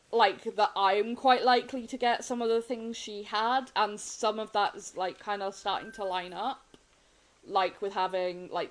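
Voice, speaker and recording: Woman, sniffly, casual gaming-stream chat, rambling, soft laughs. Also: medium pitch, rough recording.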